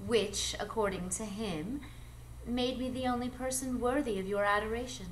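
A woman playing an alluring, ageless, mysterious adventuress in a live radio drama, speaking in a measured, coy, theatrical way. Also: a little high, natural voice. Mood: poised, confiding. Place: live performance space before an audience. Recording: noisy live stage recording.

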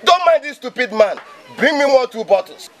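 An actor playing a middle-aged, stubborn and proud village friend who needles and teases, with a Nigerian accent, in a comedy film. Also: male voice, very high-pitched, expressive, normal speed, some chatter behind. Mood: defiant, proud. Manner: taunting, emphatic.

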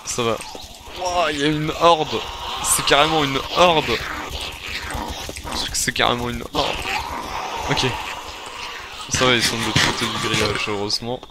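Male gamer, mid-pitched voice, improvised roleplay commentary muttered to himself with repeats, heavy noise on the recording. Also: fairly even intonation, measured pace, long pauses. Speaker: gamer playing a zombie survival roleplay series. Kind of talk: improvised in-character gameplay commentary.

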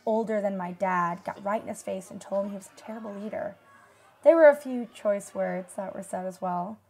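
An actress playing a wistful disaster survivor in a radio drama, recalling the past in a measured, reflective way. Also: female voice, mid-range voice, vocal fry, darkish tone. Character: female asteroid-disaster survivor recalling shelter life, wistful. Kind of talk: radio drama acting as interview testimony.

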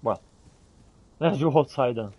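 A man's voice: mid-range voice, unhurried, warm tone, breathy edge. A man playing a fantasy role-playing game, talking in character to his companions as he comments. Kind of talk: improvised in-character gameplay commentary.

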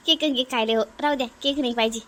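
A woman's voice: high-pitched, fast, a bit bright. A woman voicing a compliant, chatty young mother in a family cartoon, explaining things in a Varhadi Marathi accent. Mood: agreeable.

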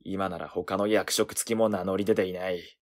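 An actor playing a gruff, hot-tempered young male werewolf in a voice drama, with rough, cocky slangy speech. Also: sits low, fairly even intonation, normal speed, a little mellow, natural voice. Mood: scheming. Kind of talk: Japanese voice drama acting.

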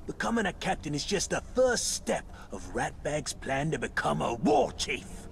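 An actor playing a scheming, boastful, cowardly orc underling in a video game, with a gravelly voice and a conniving manner. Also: male voice, fairly high pitch, big pitch swings, moderate pace, fairly bright tone, slight background noise, exaggerated voice. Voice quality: gravelly, creaky. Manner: speaks of himself in third person, conniving. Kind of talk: video game character acting. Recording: music under the voice.

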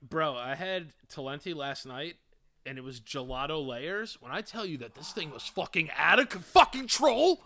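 Young man, podcast co-host, high lively voice, very bright tone, excitable, jokey. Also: normal speed. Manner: excitable, jokey, swears freely. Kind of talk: casual comedic chat. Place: home room, indoors. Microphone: studio mic on boom arm, wearing headphones, remote call.

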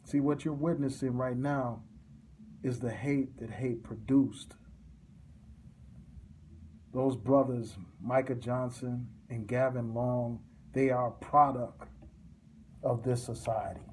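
Slow, dark-voiced man preaching to camera. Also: mid-range voice, fairly even intonation, a little hiss or hum. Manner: forceful, repetitive, preaching cadence. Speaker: commentator. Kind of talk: impassioned political monologue to camera. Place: small indoor room.

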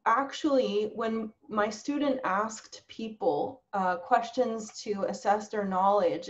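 A woman researcher explaining thoughtfully and with pauses in a webinar discussion over a video call. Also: mid-range voice, dull audio. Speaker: social science researcher, panelist.

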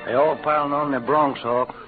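A man's voice: on the high side, fairly even intonation, normal speed, loud music behind the voice, natural voice. An actor playing an easygoing, modest cowboy gunman with an American Western accent and wry, slangy drawl in an old radio western. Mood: wry, eager. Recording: tinny, noisy old radio recording.

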